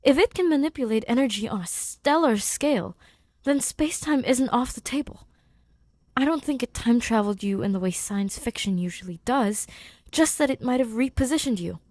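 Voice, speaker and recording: A woman playing an excited male scientist in an audiobook, explaining a theory emphatically and thinking aloud in pauses. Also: a little high, no echo, pitched above the performer's own voice. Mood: excited realization.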